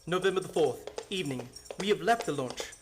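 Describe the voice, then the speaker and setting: An actor playing a resolute vampire hunter in a radio play, earnestly declaiming journal entries. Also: male voice, fairly high pitch, lively intonation, brisk, background noise, natural voice.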